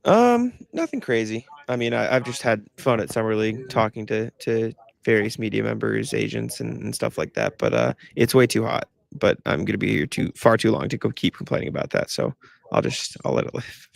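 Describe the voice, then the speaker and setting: A man's voice: on the low side, lively intonation, fairly quick, gravelly, no room sound. A man joining a postgame show as a guest, wry and self-deprecating in casual chat. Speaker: guest contributor.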